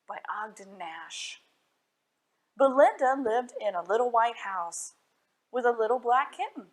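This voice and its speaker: A woman reading children's stories and poems at a library storytime, expressive and putting questions to her young listeners. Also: medium pitch.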